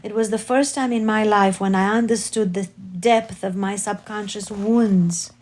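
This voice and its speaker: A woman with a Romanian accent, not a native English speaker, reading her spiritual memoir aloud slowly and carefully, with occasional self-corrections. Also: medium pitch, fairly even intonation, a little mellow. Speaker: author reading her book.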